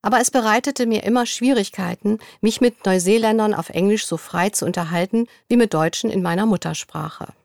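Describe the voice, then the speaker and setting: A young woman in a studio, reading interview answers in a scripted dialogue at an even, clear pace. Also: medium pitch, brisk, few pauses, no room sound.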